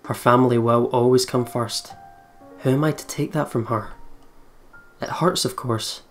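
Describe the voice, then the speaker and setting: A man hosts a let's play and reads the story narration aloud. His voice sits at a medium pitch, with guitar music clearly behind it. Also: moderate pace. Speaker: let's play host reading the game's narration. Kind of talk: scripted narration read aloud. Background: game music with guitar.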